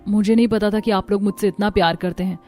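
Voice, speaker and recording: A woman's voice: mid-range voice, steady tone, quick pace, mellow, natural voice. A woman voicing a repentant, grateful young daughter-in-law in a cartoon drama. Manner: earnest, apologetic. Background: music.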